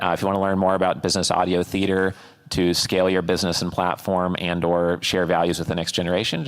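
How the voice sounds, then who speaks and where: Deep-voiced man hosting on stage. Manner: upbeat, filler-heavy wrap-up talk. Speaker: host. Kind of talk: conference fireside chat. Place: conference stage.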